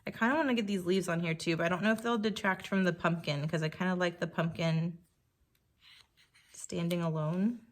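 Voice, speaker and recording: A woman with a slightly croaky voice, pitched a little low for a woman. She chats casually and thinks aloud as she works through a crafting project. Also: fairly even intonation, brisk. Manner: chatty, thinking aloud while working. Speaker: scrapbooking creator.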